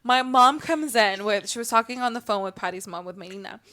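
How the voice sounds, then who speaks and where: High-voiced young woman telling stories. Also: a little fast. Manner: animated, giggly, profane storyteller. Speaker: podcast co-host. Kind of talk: casual chat.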